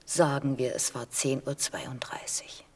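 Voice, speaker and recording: Old mom in a radio drama, low husky breathy voice, wry, gently corrects stuff. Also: female voice, little pitch movement, a little slow, in a whisper, heavily acted. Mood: doubtful, murmured. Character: wry elderly mother gently correcting her storytelling son. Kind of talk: radio play acting.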